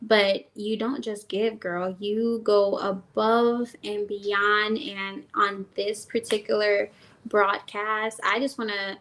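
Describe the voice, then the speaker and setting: Jamaican woman, talk show guest, unhurried speech, effusive. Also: mid-range voice, little pitch movement, low-quality recording. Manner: slow, warm praise, effusive. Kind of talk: casual chat show talk.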